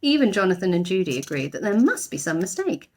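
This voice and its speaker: A woman's voice: lowish voice, sing-song, quick pace. A woman narrating a children's storybook expressively, like a teacher reading aloud.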